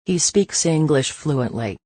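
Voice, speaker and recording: A woman with a very deep voice for a woman reads learner phrases one by one. Her delivery is slow and measured, with a pause after each line. The recording is dry, with no reverb. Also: breathy edge. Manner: slow, even, careful phrase-by-phrase reading. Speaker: phrase reader. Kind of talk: reading translated phrases for learners.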